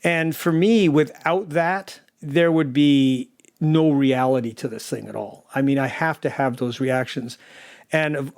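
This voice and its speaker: A man's voice: medium pitch, darkish tone. A man who works as a sound designer explains his process in an earnest, emphatic interview manner. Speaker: audio drama sound designer.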